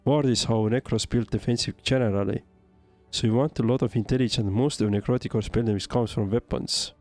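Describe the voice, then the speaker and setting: A man's voice: medium pitch, steady tone, brisk, darkish tone, no echo, faint music behind. A man from Estonia with an Estonian accent, giving casual livestream game commentary and answering chat in a hesitant, thinking-aloud way. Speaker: game streamer.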